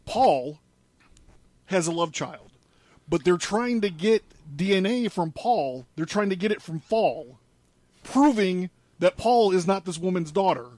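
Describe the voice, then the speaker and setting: A man's voice: high voice, animated, measured pace, darkish tone, no room sound. A man presenting a podcast in a studio, reading news articles aloud with informal asides. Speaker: podcast presenter laying out a case.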